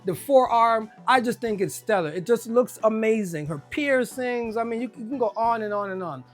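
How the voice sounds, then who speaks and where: A person with a Jamaican accent giving a casual video game review, enthusiastic and often repeating words when excited. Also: mid-range voice, moderate pace, faint music behind.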